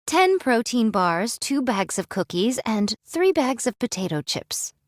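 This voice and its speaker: A wry android swordswoman from an anime-style game. She speaks with stately, old-fashioned formality in a fairly high voice. The sound is close and dry. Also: very animated, a little slow, dry recording. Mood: dismayed. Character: archaic-speaking android swordswoman, wry, fond of food and drink.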